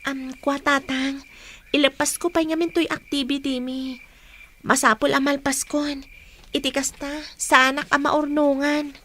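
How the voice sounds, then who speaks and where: A woman's voice: sits high, little pitch movement, unhurried, fairly bright tone, slight background noise. A woman playing a dutiful, respectful young college student daughter in an Ilocano radio drama. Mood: dutiful, caring.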